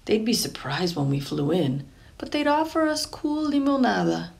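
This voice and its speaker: A woman reading a children's picture book aloud in an expressive, deliberate storytelling way, lingering over phrases. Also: mid-range voice, gravelly. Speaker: storybook reader.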